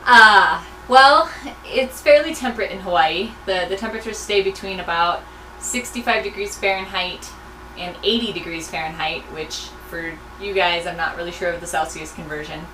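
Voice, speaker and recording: A woman's voice: lowish voice, normal speed, pushed and bright. A woman giving casual, explanatory answers to questions as a research crew member. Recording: echoey room, faint background noise.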